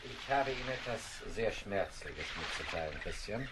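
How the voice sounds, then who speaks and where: An actor playing a grave, kindly naval companion in an audio drama, speaking in a solemn, measured manner. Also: male voice, on the low side, lively intonation, noisy background, close to an everyday voice. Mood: grave mourning.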